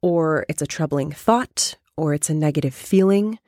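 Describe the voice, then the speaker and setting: A woman life coach hosting a podcast and explaining a method step by step, with a hoarse, raspy voice from a cold. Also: low-pitched, moderate pace, darkish tone, no echo, clean studio sound. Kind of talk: casual coaching talk.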